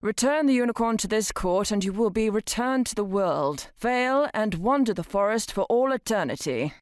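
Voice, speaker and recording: A woman's voice: mid-range voice, lively intonation, normal speed, dry recording, lightly acted. A woman playing a stern, impatient angel judge in an audio drama, making formal, commanding pronouncements.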